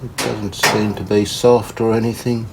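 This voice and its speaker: Low-voiced man talking through a repair. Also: little pitch movement, gravelly, background noise. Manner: unhurried, tentative, thinking aloud. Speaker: host of a radio repair channel. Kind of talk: casual hands-on repair commentary.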